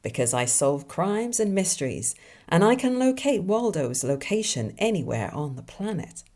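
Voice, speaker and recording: A woman voicing a wisecracking male deer detective in a film noir drawl, delivering deadpan puns. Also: lowish voice, moderate pace. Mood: hardboiled deadpan.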